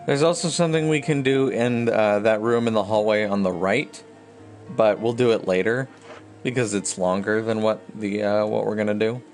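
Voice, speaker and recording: A man's voice: on the low side, fairly even intonation, normal speed, darkish tone, faint music behind. A man chatting casually with viewers and thinking aloud in rambling fashion as he streams a video game. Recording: clear background noise.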